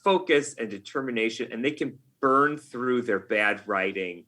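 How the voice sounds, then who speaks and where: A middle-aged man talking casually as an interview guest, often halting and rephrasing himself as he goes. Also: medium pitch, sharp tone. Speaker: podcast guest, game writer. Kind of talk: casual interview talk, reflective anecdotes.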